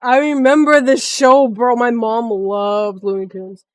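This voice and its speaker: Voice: medium pitch, little pitch movement, unhurried, clean tone, no room sound. A streamer in their twenties giving casual, sweary reaction commentary in short informal interjections. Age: 20s.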